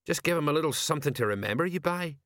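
Man doing a chatty old sergeant, pitched up. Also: expressive, very fast, fairly bright tone, no room sound, studio quality. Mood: sheepish, rambling. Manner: rambling, colloquial, folksy. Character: chatty, defensive, working-class older police sergeant.

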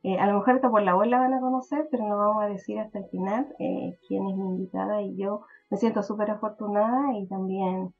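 A woman's voice: mid-range voice, fairly even intonation, a little fast, gravelly, hardly any pauses, breathy edge, phone-quality sound, faint music behind. A Chilean woman hosting a podcast interview, chatting casually and hesitantly with frequent fillers and laughs. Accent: Chilean Spanish. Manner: hesitant, mixes in English words, laughs often. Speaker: podcast host.

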